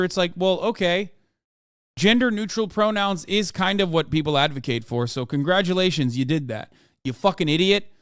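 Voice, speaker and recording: A man's voice: high-pitched, a bit bright, smooth, dry recording. A man in his thirties whose first language is Turkish, streaming a blunt, profane, exasperated reaction rant into a close mic. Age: 30s. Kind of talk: casual profane reaction commentary.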